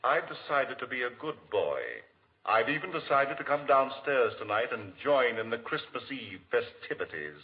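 Radio-play schemer played by a man: sardonic nobleman, theatrical, animated intonation, dull vintage recording. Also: mid-range voice, moderate pace, natural voice. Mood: mocking, sly. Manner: mocking, theatrical. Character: sardonic, sly, reclusive male aristocrat. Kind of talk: 1940s radio acting.

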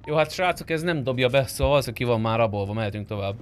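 A man's voice: mid-range voice, lively intonation. A man leading a group roleplay game, talking casually with his friends. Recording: clear vehicle noise behind voice.

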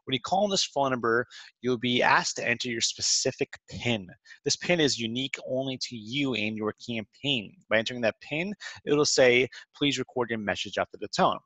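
Man narrating a software tutorial, dry sound. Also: mid-range voice, normal speed, dry recording, low-quality recording. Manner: informal, instructional, step-by-step. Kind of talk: walkthrough narration of a screen demo, semi-scripted. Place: close dry voice-over for a screen recording.

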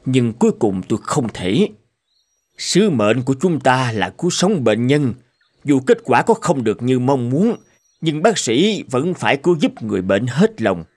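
A man voicing troubled, thoughtful doctors and professors in a cartoon drama, formal and measured. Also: medium pitch, very animated, a little mellow. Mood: earnest, concerned. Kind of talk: animated cartoon drama dubbing.

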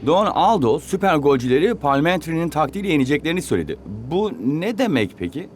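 Young keen cartoon soccer kid, naive, bouncy pitch, bit high. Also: male voice, very animated, fast, soft background music. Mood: puzzled. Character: young eager male footballer, naive and curious. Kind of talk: animated series dub.

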